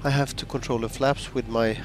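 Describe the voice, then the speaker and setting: Swedish guy chatting through a sim flight, halting, mid pitch voice. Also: fairly even intonation, a little hiss or hum. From Sweden. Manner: halting, thinking aloud with restarts. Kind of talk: casual commentary while flying a simulator. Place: home room with simulator cockpit.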